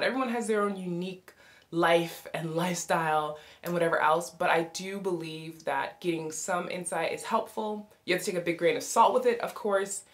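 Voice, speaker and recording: A woman's voice: on the low side, a bit bright. An American woman in her thirties talking to camera from a small bedroom, explaining things in an upbeat, chatty way. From United States. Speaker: vlogger and podcast host. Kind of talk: informative casual talk to camera. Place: small bedroom with sloped ceiling.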